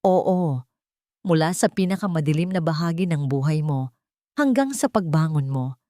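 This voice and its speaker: A devoted husband in a romance audio story, read by a woman narrator. The voice is fairly low, tender and reassuring. It is recorded dry, without room sound. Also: lively intonation, normal speed, mellow, dry recording.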